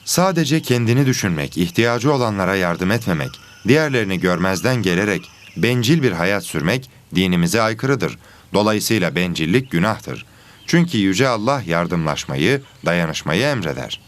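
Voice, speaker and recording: A man playing a patient religion teacher giving a scripted, deliberate lesson in an educational cartoon. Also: deep voice, sing-song. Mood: solemn teaching. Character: patient male religion teacher of animal pupils.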